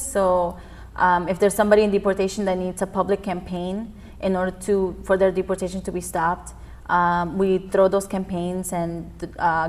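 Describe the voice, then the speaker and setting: A young woman from Peru, a youth immigrant activist, giving earnest interview answers with frequent ums. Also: mid-range voice. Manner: earnest, many fillers.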